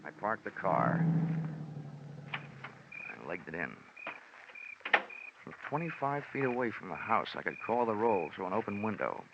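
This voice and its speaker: A man's voice: fairly low pitch, very animated, moderate pace, long pauses, very noisy. A man narrating a 1940s radio detective drama in a dry, wry first-person voice. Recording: dull old radio recording with music.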